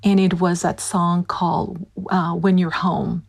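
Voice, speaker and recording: A woman from Puerto Rico recounting a personal memory in a halting, reflective way, with many restarts. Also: lowish voice, little pitch movement, creaky. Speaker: guest. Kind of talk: personal storytelling.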